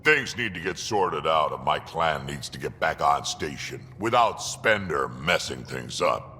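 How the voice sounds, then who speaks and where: An actor playing an ancient, blunt alien mercenary and grandfather with a gravelly voice in a video game. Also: extremely low pitch, lively intonation, unhurried, sharp tone, in a large hall, a little hiss or hum, full character voice. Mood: gruff, distrustful. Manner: blunt, coarse. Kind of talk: video game character dialogue.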